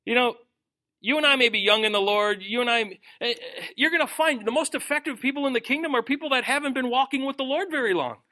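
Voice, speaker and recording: A middle-aged man preaching a sermon, reading scripture and then commenting in an animated way, often acting out the voices he quotes. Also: very high-pitched, fast. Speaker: pastor. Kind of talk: expository sermon.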